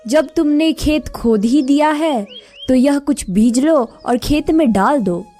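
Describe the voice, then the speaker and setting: A woman's voice: high-pitched, takes it slowly, mellow, pitched above the performer's own voice. A woman voicing a patient village mother in a cartoon story, earnest and instructive. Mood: patient, instructing. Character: widowed village mother, patient, instructing her idle sons.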